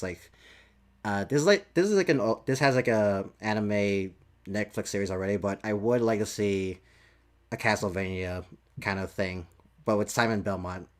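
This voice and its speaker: A man's voice: fairly low pitch, normal speed. A man hosting a casual podcast chat about games, often repeating and rephrasing as he works out his thoughts. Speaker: podcast host.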